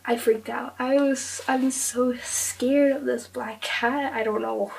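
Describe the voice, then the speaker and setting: A young woman with a fairly high voice talks casually to camera, recounting an eerie experience full of fillers. She is sick and her voice is hoarse and fading. She sits a bit back from the microphone. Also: fairly even intonation, takes it slowly, recorded from a distance, low-quality recording. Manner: chatty, breathless retelling with many fillers. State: hoarse, losing her voice from illness. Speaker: vlogger.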